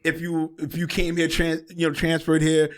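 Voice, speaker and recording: A man in his forties with a New York accent, hosting a casual interview chat in a relaxed, streetwise way. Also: a little high, moderate pace. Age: 40s. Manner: relaxed, streetwise, keeps saying "you know what I'm saying". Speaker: podcast host.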